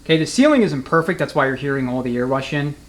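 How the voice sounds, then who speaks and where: A man's voice: fairly high pitch, big pitch swings, fast, very noisy. A man giving an unscripted, hands-on technical demonstration as a mechanic, explaining things in a matter-of-fact way. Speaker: transmission mechanic and instructor. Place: transmission workshop.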